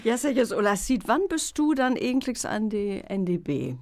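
A woman with a Münsterland Low German accent, hosting a casual radio interview in a studio, lively and laughing often. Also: normal speed. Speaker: radio host.

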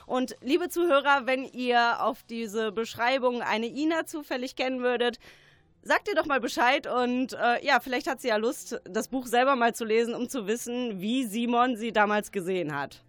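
A woman's voice: fairly high pitch, moderate pace, a bit bright, no echo. A woman hosting a radio interview, addressing her guest and the listeners in an informal way.

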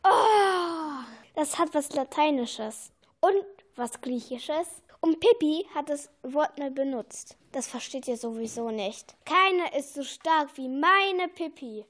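A woman's voice: sits high, lively intonation, slow pace. A woman playing a vain, know-it-all female bookworm in a children's radio play, boastful and exclamatory. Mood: indignant, showing off.

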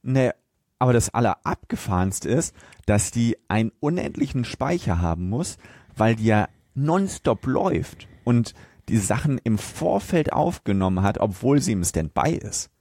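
A man with a German accent talking casually as a podcast co-host, laying out his points in a measured, critical way. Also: medium pitch, sing-song, darkish tone, no echo, studio quality. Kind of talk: casual film discussion chat.

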